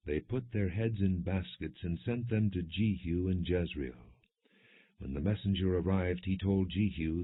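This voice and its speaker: A man reading scripture as an audiobook narrator, in a measured, solemn, non-dramatized style. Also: sits low, husky. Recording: dull, muffled phone-like audio.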